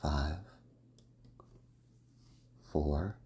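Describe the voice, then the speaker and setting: A man giving a slow, soft and commanding hypnosis roleplay in the ASMR style, often dropping to a half-whisper. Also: very deep voice, steady tone, slightly rough.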